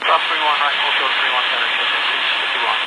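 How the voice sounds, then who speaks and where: Brisk male pilot on a noisy cockpit radio. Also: medium pitch, steady tone. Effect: cockpit radio transmission. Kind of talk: clipped radio readbacks. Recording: very noisy aircraft radio, engine drone.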